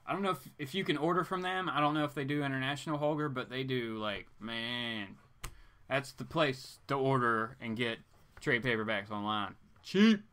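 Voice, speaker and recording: A man's voice: mid-range voice, moderate pace, fairly bright tone. A man hosting a live stream in an informal, rambling chat, with playful asides that swing between exclaiming and muttering. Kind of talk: casual rambling chat, reading viewer comments.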